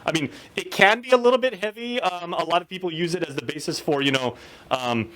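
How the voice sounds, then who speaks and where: A man interviewing a guest, talking quickly with frequent fillers. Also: high-pitched, fairly bright tone, rough recording. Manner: fast, with many "you know" fillers. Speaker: host.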